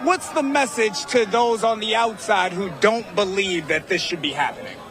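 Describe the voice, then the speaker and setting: Male street interviewer, high pitch, upbeat and probing. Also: moderate pace, crowd noise.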